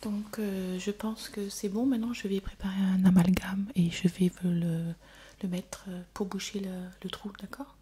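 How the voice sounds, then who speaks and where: A woman in an ASMR dentist roleplay, speaking softly and often in whispers, gently reassuring a patient. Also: mid-range voice, little pitch movement, normal speed. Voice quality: soft, often breaking into unvoiced whisper. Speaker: ASMR performer playing a dentist. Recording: clear background noise with clicking sounds.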